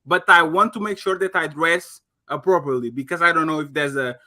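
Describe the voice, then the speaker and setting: A man whose first language is Portuguese argues in a lively group talk, his voice sitting a little high and sounding bright. He cuts in often and stacks repeated words when he pushes back. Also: brisk. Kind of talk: heated casual debate.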